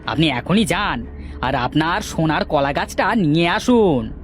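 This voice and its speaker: A man's voice: a little high, lively intonation, measured pace, faint music behind. Man voicing a jealous, scheming cartoon villager. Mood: goading. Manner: grumbling, sly. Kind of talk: children's moral cartoon acting.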